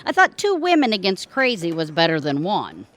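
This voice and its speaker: A woman's voice: on the low side, wildly swooping pitch, moderate pace, fairly bright tone. An actress playing a droll mother with a new-age bent in a comic audio drama, wry and musing.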